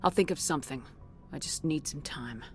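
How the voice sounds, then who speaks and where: A woman's voice: low-pitched, a little fast, soft background music, lightly acted. A woman playing a firm, blunt military commander in a science fiction video game. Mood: frustrated, resolute. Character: resolute human female military commander in space opera. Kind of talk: cinematic game voice acting.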